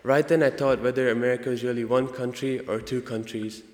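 A young man with an Indian accent gives an earnest prepared student speech, halting now and then to correct himself. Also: medium pitch, fairly even intonation, a little mellow. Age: young adult. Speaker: student speaker. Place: large indoor hall, convocation. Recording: echoey hall with long reverb.

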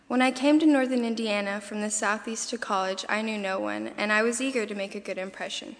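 A young woman with a lightly softened Tennessee Southern accent reads her prepared essay at an earnest, measured pace. Her voice rings out with a long reverb tail in a large hall. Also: mid-range voice, fairly even intonation. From Eastern Tennessee. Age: young adult. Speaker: student speaker. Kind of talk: reading a prepared personal essay speech. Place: campus convocation hall.

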